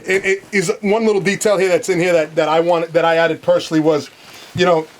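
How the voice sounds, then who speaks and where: A man's voice: on the high side, little pitch movement, brisk. A middle-aged man talking casually in a recording studio about his clothing line, full of restarts and "you know". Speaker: streetwear brand founder. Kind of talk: casual chat. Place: recording studio control room.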